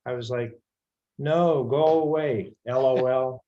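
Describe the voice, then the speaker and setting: Man slowly reading out comments, muffled. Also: mid-range voice. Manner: slow, reading comments aloud. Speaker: co-host reading viewer comments. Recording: dull, muffled lo-fi audio.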